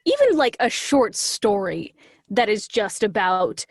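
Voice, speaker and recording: A woman chatting casually as an interview guest, animated and gushing, slipping into little acted-out asides. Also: medium pitch, a little slow, slightly rough, no room sound, studio quality. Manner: animated, gushing, acts out her own quoted lines.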